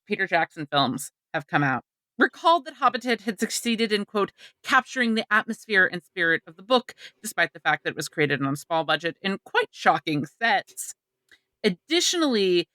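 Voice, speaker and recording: A woman's voice: fairly low pitch, pushed and bright, no echo. A woman co-hosting a podcast, giving wry, articulate, wordy commentary in a casual setting. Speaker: podcast co-host. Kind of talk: casual chat with prepared commentary.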